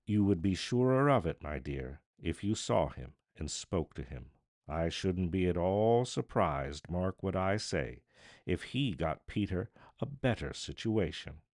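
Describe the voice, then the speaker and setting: A kindly, tender father from a storybook, acted out by a male reader, speaks in a low voice with very animated pitch. He is earnest and emphatic, lingering on his words at a slow pace. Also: no room sound, studio quality. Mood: warm, earnest. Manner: earnest, exclaiming, with repeated emphatic phrases.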